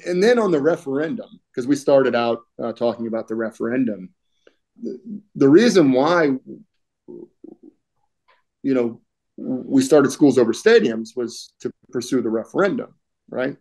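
Middle-aged man, mellow voice, throat-clearing. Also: mid-range voice, expressive, measured pace, pauses often. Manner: conversational, many fillers, clears throat often. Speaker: union political director, guest. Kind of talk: casual video-call interview. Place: home room, indoors.

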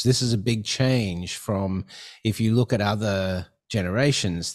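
A man's voice: lowish voice, darkish tone. Chatty middle-aged male podcast host. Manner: rambling, many fillers and restarts. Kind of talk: casual interview chat. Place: home studio with neon sign. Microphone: headset and desk mic on video call.

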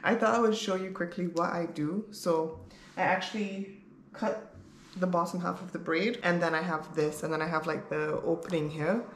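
Casting: woman, 30s, lowish voice, candid vlog chat, rambling delivery, roomy home recording with background noise. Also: steady tone, normal speed. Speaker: vlogger. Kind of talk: casual chat to camera. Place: bare-walled room at home, indoors.

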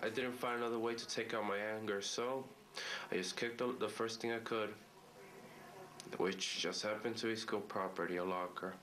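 A teenage male high school student confessing in a subdued, regretful way. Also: steady tone, normal speed, a bit bright, slight background noise. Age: teens. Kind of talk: reflective interview confession. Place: school room with whiteboard.

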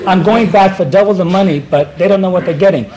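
A man's voice: high voice, quick pace, crowd noise, rough recording. A man in his thirties with a Puerto Rican accent, a talk show guest rambling and giggling through comic stories in hip slang on a studio set. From Puerto Rico. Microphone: stand mic. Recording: old TV broadcast audio, hiss.

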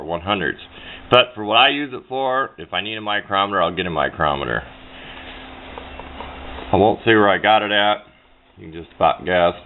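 Low-pitched man, muffled noisy recording. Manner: rambling, plain-spoken, self-correcting. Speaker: hobbyist demonstrating a homemade radio antenna build. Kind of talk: casual hands-on explainer. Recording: low-fi muffled sound with clear background noise.

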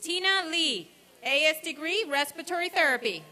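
A woman's voice: sits high, lively intonation, moderate pace, sharp tone. A woman formally reading a roll of graduates as program director at a commencement ceremony. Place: outdoor college commencement ceremony.

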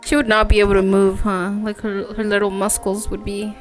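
A woman's voice: medium pitch, monotone, fairly quick. A woman chatting casually as she plays a video game, slipping between English and Spanish. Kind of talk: casual chat commentary over a video game. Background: video game music.

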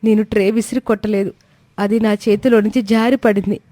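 A woman's voice: mid-range voice, fairly even intonation, fairly quick, mellow, dry recording, lightly acted. A woman voicing a hurt young daughter-in-law from a poor family, earnestly pleading in a dramatised moral story. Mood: desperate pleading.

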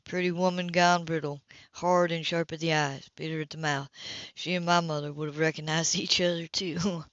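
A tough, streetwise man played by a woman reader speaks low in an audiobook, cynical and matter-of-fact about a hard life. The sound is dry and slightly dull. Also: level tone, normal speed, a bit bright, no room sound. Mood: cool. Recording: dull lo-fi home recording.

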